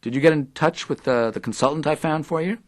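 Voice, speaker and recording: A man's voice: a little high, fast, no echo, studio quality, lightly acted. A man playing a pompous, wordy intellectual radio interviewer in an audio drama, sarcastic and easily indignant. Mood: wary. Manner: verbose, sarcastic.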